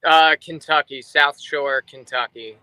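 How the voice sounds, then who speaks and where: Male interview guest, drummer from New Jersey: higher-pitched, sharply bright voice, casual delivery, dry sound. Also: steady tone, unhurried, clean tone, dry recording, rough recording. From New Jersey, United States. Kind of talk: casual chat.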